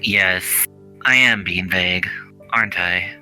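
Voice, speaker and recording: An older male mentor played by a man reading a visual novel speaks with a very bright, forceful edge on a lowish pitch. He is patient, formal and reassuring, with faint music underneath. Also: slow, heavily acted, low-quality recording. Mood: grave, measured. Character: older male scholarly mentor, patient and earnest shop master.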